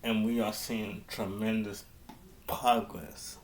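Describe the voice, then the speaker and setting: A man giving an improvised public address as a mayor, halting and often repeating and restarting his phrases. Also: on the low side, flat delivery, slight background noise.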